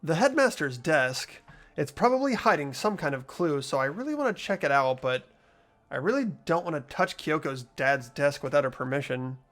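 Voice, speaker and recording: A performer voicing an earnest, animated teenage schoolboy. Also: medium pitch, moderate pace, natural voice. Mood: curious, unsure. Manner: hesitant, questioning delivery. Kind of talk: fan voice acting of a visual novel game.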